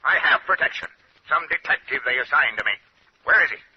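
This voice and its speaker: An actor playing a gruff, suspicious, irritable wealthy financier with a brusque, barking manner in an old-time radio drama. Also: male voice, mid-range voice, fairly quick, lightly acted. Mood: irritable, dismissive. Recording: muffled, noisy vintage radio recording.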